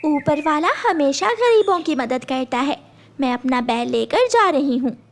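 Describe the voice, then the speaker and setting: A woman's voice: high voice, animated, a little slow, slight background noise. A woman voicing a kind, poor female bird farmer in a cartoon, speaking earnestly and imploringly. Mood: determined.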